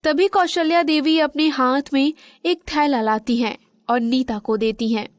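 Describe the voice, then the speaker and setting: A woman's voice: high voice, unhurried, dry recording, studio quality. A woman reading scripted narration for a children's moral story.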